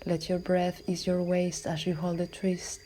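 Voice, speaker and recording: A woman yoga instructor guiding a class in a calm, measured, soothing voice that is soft and at times breathy. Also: on the low side, a little hiss or hum. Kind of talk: guided yoga and relaxation instruction.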